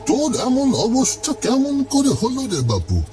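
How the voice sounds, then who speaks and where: A man puts on a concerned, scolding village elder for a horror cartoon. The voice is pitched very high. Music runs clearly behind it. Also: wildly swooping pitch, normal speed, dark tone, exaggerated voice. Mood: worried curiosity. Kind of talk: Bengali horror cartoon dialogue. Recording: music under the voice.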